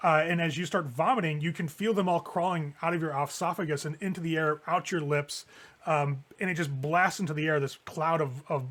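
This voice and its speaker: Male game master, higher register, conversational horror narration for a tabletop game. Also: fairly even intonation, fairly quick, a bit bright. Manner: casual, conversational improvised narration with swearing.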